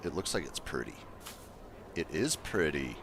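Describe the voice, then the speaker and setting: A man with a deep voice casually chatting to his viewers as he builds in a game livestream, thinking aloud in an easygoing way. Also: sing-song, moderate pace, background noise. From Colorado, USA. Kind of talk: casual gameplay livestream chat.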